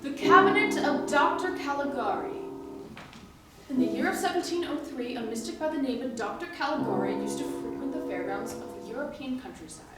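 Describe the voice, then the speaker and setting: A woman playing a bold, quick-witted schemer in a stage musical, with a brassy, playful, theatrical delivery. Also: high-pitched, lively intonation, loud music behind the voice. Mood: curious. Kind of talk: live stage musical acting. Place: staged reading before a live audience. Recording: echoey hall, distant mic, clear noise.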